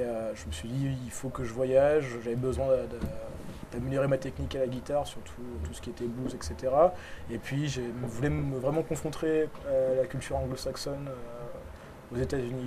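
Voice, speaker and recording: A man answering interview questions on a live talk show, halting and repeating words as he thinks. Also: mid-range voice, steady tone, background noise. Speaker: musician guest. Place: live event on a boat.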